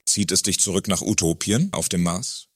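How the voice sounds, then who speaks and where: An actor playing a curious, eager Earthling in a satirical radio play, with a theatrical delivery. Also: male voice, low voice, moderate pace, slightly rough, dry recording, clean studio sound. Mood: incredulous. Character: curious, eager male Earthling questioning an alien.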